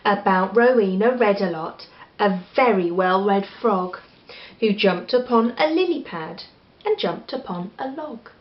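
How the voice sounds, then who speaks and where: A woman with an English accent reading a children's story aloud in an expressive style. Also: medium pitch, a little slow. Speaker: storybook reader. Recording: echoey room, slightly dull sound.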